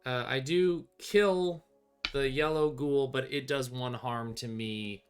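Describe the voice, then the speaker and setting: Middle-aged male game host, mid-pitched, casual and wry, talking through his options with faint music present. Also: takes it slowly, smooth. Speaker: solo tabletop game player and host. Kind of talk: casual play-through commentary, thinking aloud. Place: small indoor room with bookshelves.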